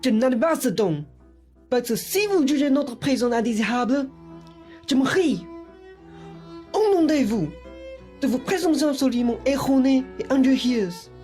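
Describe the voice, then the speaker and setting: A woman's voice: on the high side, animated, moderate pace, pauses often, warm tone, soft background music, close to an everyday voice. A woman playing a pompous young male thief who poses as a noble suitor in a radio play. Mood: haughty.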